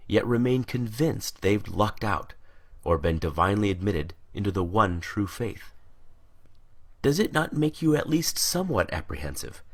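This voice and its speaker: Male narrator, low register, highly varied intonation, deliberate and emphatic rhetorical delivery. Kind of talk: scripted rhetorical monologue.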